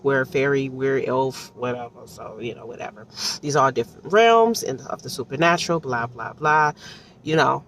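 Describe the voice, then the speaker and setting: A woman from Detroit with an American accent gives casual, rambling commentary in a slangy, conversational way full of asides. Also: rumbling low. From Detroit, United States. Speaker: audiobook reviewer. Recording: distant mic with faint background noise.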